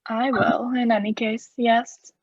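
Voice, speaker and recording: A woman's voice: on the high side, level tone, slow, dry recording, highs cut off. A woman acting a cold, vengeful murderer in a radio play, speaking with formal, sardonic politeness as he mocks his victim. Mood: gloating.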